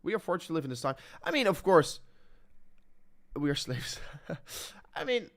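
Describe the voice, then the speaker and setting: Rambling young man, slightly high voice. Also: sing-song, normal speed, a bit bright. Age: 20s. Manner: repeats himself, many "I mean" and "like" fillers, self-corrects often. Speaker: crypto YouTuber. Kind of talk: rambling motivational vlog monologue.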